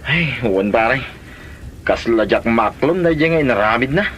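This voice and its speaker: A man playing an excitable, defensive fellow in an Ilocano comedy drama. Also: mid-range voice, a little slow, slight background noise. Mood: defensive, flustered.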